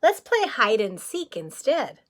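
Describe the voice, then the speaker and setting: A woman voicing a kindly, mild old zookeeper man in a storybook read-aloud, gentle and expressive. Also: high-pitched, measured pace, full character voice. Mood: tired.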